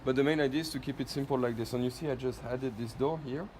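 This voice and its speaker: A man's voice: medium pitch, steady tone, fast talker. A man with a French accent giving an informal product demo, explaining step by step and often checking that listeners follow. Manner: explanatory, often ends points with checking tag questions. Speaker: company presenter demonstrating a product. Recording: echoey room, loud background noise.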